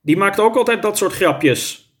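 A man's voice: high-pitched, lively intonation, a little slow, pitched above the performer's own voice. A man voicing an eager, cheeky young schoolboy in a children's story. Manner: eager, calling out.

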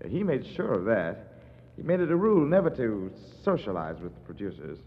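An actor playing a genial, slightly hesitant theatrical producer in a 1940s radio drama. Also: male voice, on the low side, very animated, brisk. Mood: affable. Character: affable, puzzled theatrical producer, a grown man. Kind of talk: 1940s radio detective acting. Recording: muffled, echoing, noisy old radio recording.

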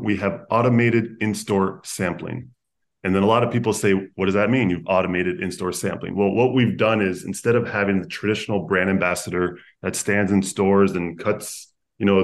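A Canadian man with a fairly low voice tells stories as a podcast guest. He sounds upbeat and talks in long, run-on sentences. He seems to be in his thirties. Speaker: podcast guest, food-tech founder. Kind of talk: conversational storytelling.

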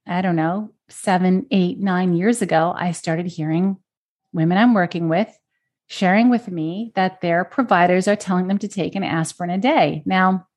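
A woman hosting a podcast, explaining research and repeating key points for emphasis. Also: mid-range voice. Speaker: podcast host.

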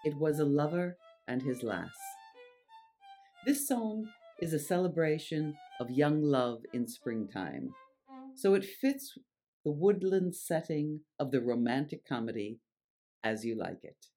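A presenter giving scripted narration and reciting verse in a measured, deliberate, theatrical way. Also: medium pitch, sing-song, dark tone, soft background music. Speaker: presenter and reader.

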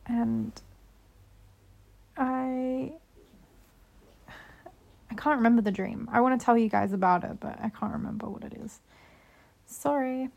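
A woman's voice: on the high side, fairly even intonation, unhurried, creaky, long pauses, a little mellow, lo-fi sound. A young woman chatting casually and upbeat to her viewers, a little sick with a cold. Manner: chatty, rambling, upbeat self-talk. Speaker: vlogger.

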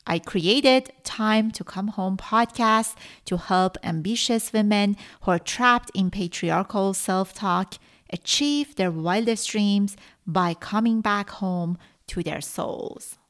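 A middle-aged woman, a native Farsi speaker from Iran, hosting her own podcast and telling her story earnestly with frequent pauses. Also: mid-range voice. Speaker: podcast host. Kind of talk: solo podcast monologue.

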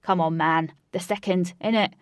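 A woman voicing a hot-headed young man who talks loudly in brash street slang. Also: medium pitch, measured pace, dry recording, studio quality. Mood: angry.

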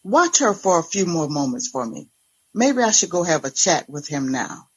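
A woman's voice: on the low side, very animated, moderate pace, breathy edge. A woman voicing a puzzled, forthright female church worker in a story. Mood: concerned.

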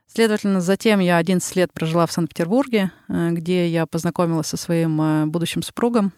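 Woman, 30s, Ural Russian accent; reflective podcast guest, lots of hesitations, smooth resonant voice, dry close sound. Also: lowish voice, steady tone, fast, darkish tone, no echo. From Russia, the Urals. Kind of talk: casual interview talk.

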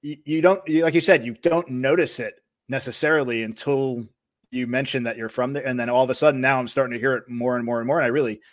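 A man's voice: medium pitch, steady tone, fast talker. Male podcast host, friendly and enthusiastic, conversational questions with frequent restarts. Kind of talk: casual interview questions.

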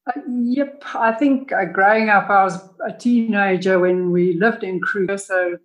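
A woman's voice: medium pitch, fairly even intonation, unhurried, dull audio. Enthusiastic older woman telling safari stories. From Tanzania. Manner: enthusiastic, rambling, laughs often. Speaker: podcast guest. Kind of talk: casual interview storytelling.

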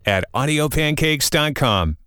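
A man's voice: a little high, animated, unhurried. A man hosting a morning-show style program with casual, wisecracking, conversational patter. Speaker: radio show host. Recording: music bed behind the voice. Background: background music.

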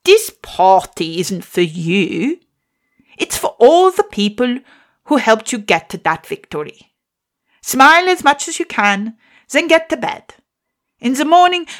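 A famous Swedish show rider, a seasoned man handing out advice in a slow, deliberate way, as a woman puts him on. His voice climbs higher than her own, and he leans hard on each phrase. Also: very animated. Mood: advising. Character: famous male Swedish rider, older mentor.

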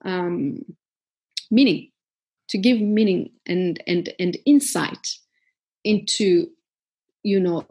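A woman's voice: medium pitch, husky. A woman from Africa, founder of a community garden, telling her story earnestly in an interview, with many fillers and restarts.

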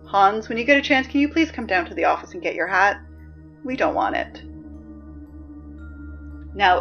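Muffled, as if over a school PA with music underneath, an actress plays a female morning announcer in an audio drama. She is reluctant and awkward, delivering official notices in a weary deadpan. Her pitch sits in the middle range, and she sounds easily flustered and exasperated. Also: natural voice.

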